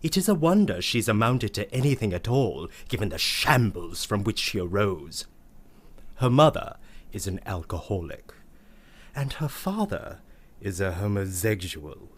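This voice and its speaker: A man's voice: on the low side, big pitch swings, measured pace. Man playing a pompous, swooping, prissy uncle. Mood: gossipy, disdainful. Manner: pompous, ornate, theatrical. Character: prissy, verbose, scandalized uncle telling family tales. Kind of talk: comic radio play monologue.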